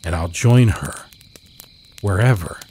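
Over night crickets comes a man acting a haunted, bereaved lover telling a campfire horror tale. The voice is very low and very slow. The delivery is solemn and brooding, full of frail longing. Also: warm tone, slight background noise. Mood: mournful longing. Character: grieving, frail narrator longing for his dead beloved. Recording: cricket night ambience under the voice.

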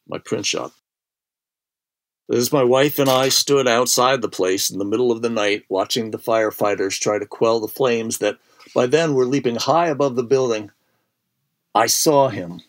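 A middle-aged man narrating a first-person ghost story in a measured, suspenseful way, with deliberate pauses and hushed phrases. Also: mid-range voice.

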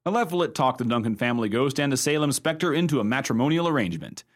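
A mid-pitched man's voice, an actor's, plays an uncle spinning a ghost story. The delivery is measured and tidy, made for a radio play. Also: animated, close to an everyday voice. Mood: storytelling.

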